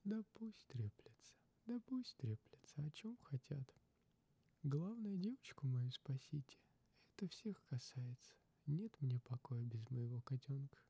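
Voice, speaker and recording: A man voicing an anxious mother pleading for her child, in a hushed, breathy manner. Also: mid-range voice, lively intonation, measured pace, pitched above the performer's own voice, exaggerated voice.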